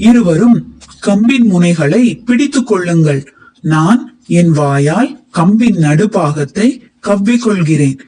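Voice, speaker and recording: A man's voice: high voice, expressive, measured pace, dark tone, low-quality recording. A man voicing an earnest, overconfident turtle in a children's moral story, speaking in an emphatic, theatrical way. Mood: persuasive. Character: earnest, stubborn male turtle, overconfident and pleading.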